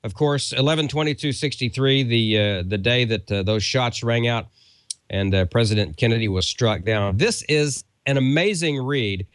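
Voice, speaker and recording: A man's voice: medium pitch, moderate pace, clean tone, no room sound. A man co-hosting a show, giving a casual, conversational sponsor read that rambles a little. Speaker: show co-host.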